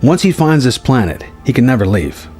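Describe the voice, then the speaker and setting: Man playing a measured, weary Jedi mentor over music. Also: mid-range voice, big pitch swings, a little mellow, close to an everyday voice. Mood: calm, foreboding. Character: weary, resolute male Jedi master, cryptic mentor. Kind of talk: acted dialogue within story narration. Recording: music behind the voice.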